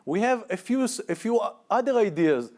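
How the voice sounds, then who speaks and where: A man with an Israeli accent speaking earnestly on a conference panel, halting often with restarts. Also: high-pitched, animated, darkish tone. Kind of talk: panel discussion. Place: conference room.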